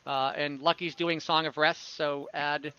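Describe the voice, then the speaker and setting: A man hosts a tabletop role-playing game as game master. His voice is slightly high for a man, and he talks in an easygoing, informal way to his players. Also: level tone, measured pace, sharp tone, clean tone, highs cut off. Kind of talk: casual online tabletop game hosting.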